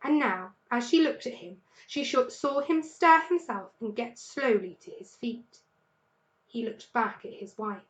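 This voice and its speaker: Lady teacher reading a kids story out loud, expressive, highish voice, echoey room. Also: measured pace. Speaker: teacher reading aloud to pupils. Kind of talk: expressive storybook narration for children.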